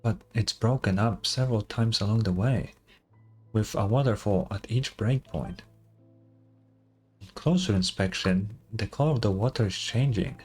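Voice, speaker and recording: Guy with lowish voice reading game dialogue on stream, casual reactions, bit of music under. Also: normal speed, a little mellow, breathy edge.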